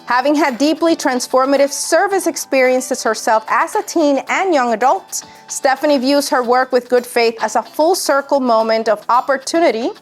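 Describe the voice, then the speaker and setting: A woman with a high voice reads a prepared speaker introduction. There is music in the recording. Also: moderate pace, few pauses.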